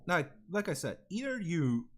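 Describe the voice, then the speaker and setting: A man's voice: fairly high pitch, sing-song, moderate pace, fairly bright tone. A young man from Serbia with a Serbian accent, chatting casually and bluntly on a stream, swearing freely with lots of fillers. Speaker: vtuber streamer. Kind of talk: casual rambling stream chat.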